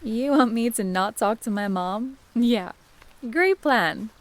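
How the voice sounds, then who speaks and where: A woman's voice: on the high side, lively intonation, takes it slowly, no echo. A woman playing an affectionate young student fiancée in an audio drama, with a conversational delivery. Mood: affectionate, teasing. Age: young adult.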